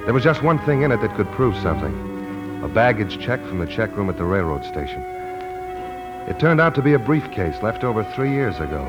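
A brooding, hardboiled veteran played by a man tells his own story in a deep voice. He is terse and wary, in classic 1940s radio drama style, with prominent music underneath. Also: close to an everyday voice, poor audio. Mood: uneasy. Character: wary, troubled amnesiac Marine veteran narrating his search. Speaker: lead actor and first-person narrator. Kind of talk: 1940s radio noir acting and narration.

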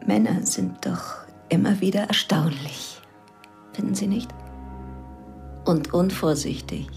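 A woman's voice: on the low side, slow, a little mellow, in a whisper, faint music behind. An actress playing a proud, guarded elegant woman who answers in a clipped, defensive way. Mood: guarded, cold. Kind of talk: radio play acting.

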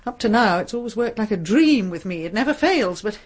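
A woman playing a scatty, opinionated middle-aged English crime novelist in a radio drama, husky and given to exclaiming. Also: mid-range voice, expressive, fairly quick, lightly acted. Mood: musing, fretful. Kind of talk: British radio drama acting.

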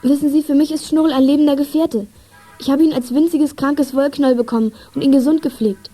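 An actress playing a plucky, polite girl detective in a children's radio play, speaking briskly and earnestly. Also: sits high, steady tone, dark tone, soft background music, lightly acted. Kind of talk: 1980s German children's radio play acting.

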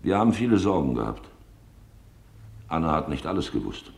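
Radio feature casting: sombre witness, extremely low voice, sober delivery, roomy echoing sound. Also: male voice, very animated, moderate pace, vocal fry, darkish tone, exaggerated voice. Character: sombre male witness, helper of a family in hiding. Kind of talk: scripted testimony in a radio feature.

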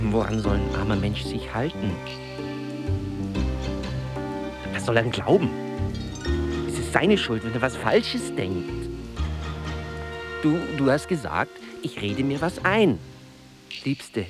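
Slow, tormented old artist. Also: male voice, medium pitch, big pitch swings. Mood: brooding, anguished. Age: older. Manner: theatrical, halting, weighty delivery with repeated words. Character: tormented, lonely, sleepless old artist, brooding and lovesick. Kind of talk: literary radio drama monologue and dialogue. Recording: music playing behind the voice. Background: music.

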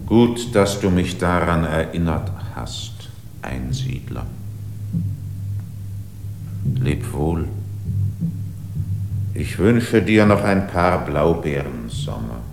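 An actor playing an ancient, brooding mountain spirit in a classic radio play, speaking in a grave, oracular way. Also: male voice, animated, soft background music, heavily acted. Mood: solemn. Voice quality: deep, gravelly, slow and solemn.

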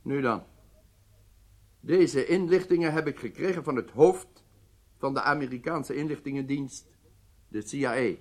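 A male actor playing a stern head of state in a radio drama, speaking formally and deliberately with emphatic phrasing. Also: medium pitch, a little mellow, close to an everyday voice. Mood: reluctant.

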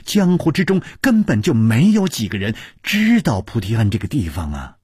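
A man's voice: mid-range voice, wildly swooping pitch, moderate pace, a little mellow, breathy edge, no echo, studio quality, voice pushed up, full character voice. A man voicing an earnest, anxious young woman disciple in an audiobook. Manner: earnest, worried. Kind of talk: audiobook storytelling.

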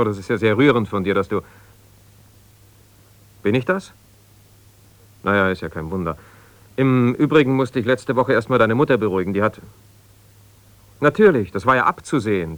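Forceful, strained, placating husband. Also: male voice, on the low side, no echo. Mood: irritated, sardonic. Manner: halting, repeats himself, reassuring yet exasperated. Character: ambitious lawyer husband, evasive, placating, strained, newly separated. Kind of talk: naturalistic 1970s German radio drama, one-sided phone calls.

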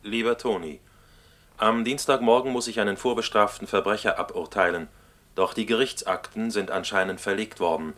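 Low-voiced businesslike male judge played by an actor. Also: steady tone, normal speed, a bit bright, close to an everyday voice. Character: male judge reading his letter to a colleague. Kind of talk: German radio play acting, letter reading.

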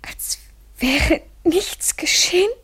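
An actress in a literary German radio play playing a tender, devoted woman in love, fragile and imploring, often breathy and near a whisper. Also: extremely high pitch, slow, fairly bright tone, full character voice. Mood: hurt, questioning.

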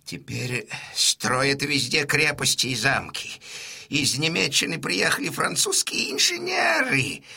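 A wily, pleading innkeeper in an audio drama, with a high and piercingly bright male voice. He speaks slowly, swinging widely in pitch, with fussy hesitations and wailing, drawn-out words. His temper is anxious and scheming. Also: gravelly, slightly rough, heavily acted. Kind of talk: full-cast audiobook dramatisation.

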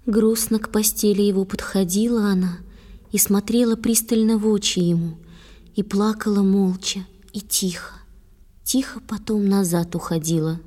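A woman giving a hushed, poetic dramatic narration for a children's audio play. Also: medium pitch, fairly even intonation, normal speed. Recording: long hall reverb.

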